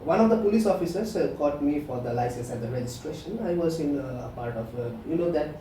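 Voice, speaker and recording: A man's voice: fairly high pitch, animated, quick pace, mellow. A man, co-hosting a podcast in casual chat, earnest and lecturing, full of "uh" and "you know". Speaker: podcast co-host. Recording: echoey room, far from the mic, faint hiss.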